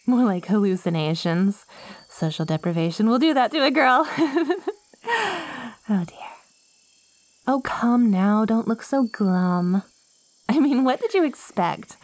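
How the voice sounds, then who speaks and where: A woman's voice: mid-range voice, a little mellow, no echo. A woman playing a lonely, possessive plant-girl monster in an ASMR roleplay, coaxing and teasing the listener in a theatrical way. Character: lonely, possessive yandere plant girl, ancient yet girlish.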